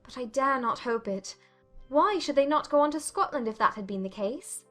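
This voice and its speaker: A woman acts an anxious young lady of a period novel, her voice high and earnest. She questions emphatically and sounds distressed and sceptical, with music behind her. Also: expressive, moderate pace, bright, forceful tone. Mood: doubtful, agitated. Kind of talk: dramatized audiobook acting of a period novel.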